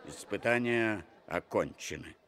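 An actor playing a stern, stately king in an animated film, speaking in a commanding, measured way. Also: on the low side, some chatter behind, a little hiss or hum.

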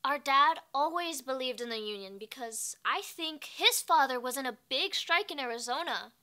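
A young actress playing a feisty farmworker sister looking back in a dramatized oral history. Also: female voice, high-pitched, animated, normal speed, bright, forceful tone. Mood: resolute. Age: young adult. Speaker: actor performing a monologue.

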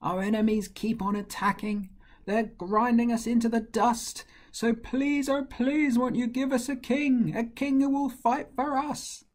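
A man voicing excitable, needy common people, calling out in singsong rhyme for a storybook tale. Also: mid-range voice, measured pace, husky. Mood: pleading.

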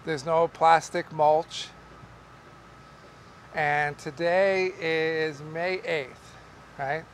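A middle-aged man, a market farmer, explaining how he grows tomatoes in a relaxed, rambling way with many fillers, recorded in a large greenhouse by a camera some distance away. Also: on the high side, extremely slow, slight background noise. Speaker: market farmer teaching growing. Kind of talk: casual on-camera farming lesson. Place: large greenhouse among tall tomato plants. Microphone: camera at a distance, wide shot.

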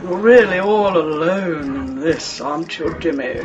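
A man's voice: on the high side, measured pace, lo-fi sound, noisy background, lightly acted. An actor playing a sardonic demon posing as an urbane dead father in a radio horror drama, teasing and theatrical.

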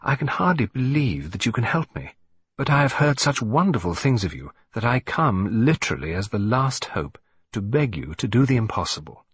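A man's voice: mid-range voice, sing-song, normal speed, husky. A man voicing a frightened young upper-class lady, hesitant and pleading. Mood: distressed. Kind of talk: audiobook reading.

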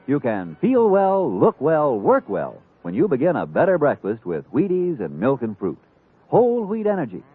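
An American man delivers an old radio commercial with hugely swooping pitch. His voice is fairly high and husky, emphatic and salesy. The recording is muffled. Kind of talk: 1950s radio advertisement, upbeat slogans.

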